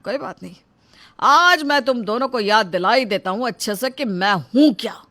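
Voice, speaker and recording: महिला की आवाज़ में गुस्सैल, रौबदार ससुर, तीखी आवाज़. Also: female voice, a little high, expressive, normal speed, pushed and bright, pitched above the performer's own voice. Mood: menacing. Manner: scolding, accusatory, self-important. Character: angry, domineering middle-aged shopkeeper father-in-law. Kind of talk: acted dialogue in a moral story.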